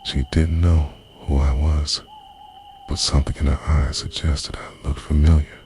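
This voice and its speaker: Male ASMR narrator, extremely low voice, slipping in and out of whisper as an obsessive lovesick confessor, faint night ambience behind. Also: flat delivery, unhurried, a little mellow, a little hiss or hum. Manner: breathy, half-whispered, intimate delivery. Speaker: audio roleplay performer. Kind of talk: scripted first-person ASMR story narration.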